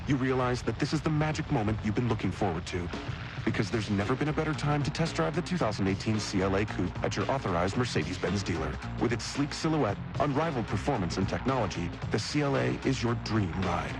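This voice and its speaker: A man's voice: fairly low pitch, expressive, quick pace, low-quality recording. A man reading a scripted radio car commercial as a voice-over announcer. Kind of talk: scripted car advert narration. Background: music bed.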